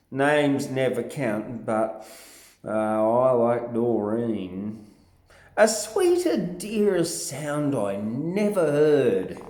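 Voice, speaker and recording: An Australian man giving a theatrical, drawn-out recitation of comic vernacular verse in character as a larrikin. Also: mid-range voice, darkish tone. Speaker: poetry reader. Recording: roomy, echoing sound.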